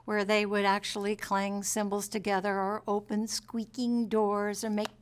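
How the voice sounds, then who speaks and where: A woman telling a story in a documentary interview, in an emphatic, measured way. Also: mid-range voice, little pitch movement, very noisy.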